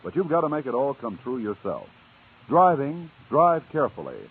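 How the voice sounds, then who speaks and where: Animated, husky 1950s radio announcer man. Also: medium pitch, normal speed. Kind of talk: 1950s scripted public-service announcement. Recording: dull, narrow old radio recording.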